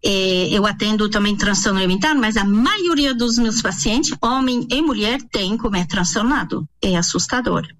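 A middle-aged woman from France with a French accent, a native French speaker, explaining as an expert guest in an interview, halting and repeating words as she searches for them. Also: medium pitch, animated, fairly bright tone, slight background noise.

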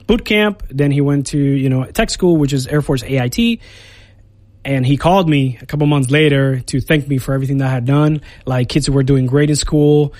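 A man in his thirties, born and raised in Puerto Rico, speaks as a guest on a radio show. His medium-pitched voice rambles easily, full of fillers and restarts. The studio sound is dry and close. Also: a little mellow, no room sound. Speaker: Air Force recruiter. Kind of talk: casual radio interview.

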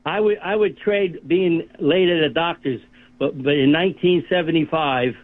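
A man's voice: fairly high pitch, normal speed. A man in his eighties calling in to a radio talk show, chatty and telling stories with laughs. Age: 80s. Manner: chatty storyteller, laughs at his own tales. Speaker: caller. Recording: phone line.

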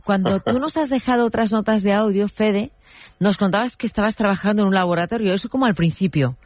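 A man's voice: sits high, fast, no echo. A radio host interviewing callers, repeating his questions and reassuring them patiently.

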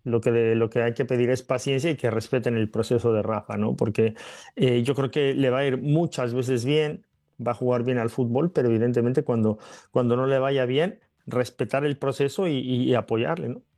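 A man's voice: mid-range voice, fairly even intonation, normal speed, dark tone. A man, a former player now pundit, giving thoughtful football analysis with frequent fillers and tag questions. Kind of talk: analysis by remote link.

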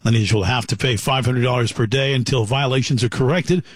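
A man's voice: mid-range voice, steady tone, a little fast, no echo, studio quality. Formal male radio news reader. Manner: crisp, formal news delivery.

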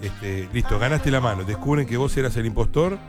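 A man's voice: medium pitch, fairly quick, music in the background. A man with a Rioplatense Spanish accent hosting a radio show, asking his co-hosts question after question. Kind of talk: casual studio chat.

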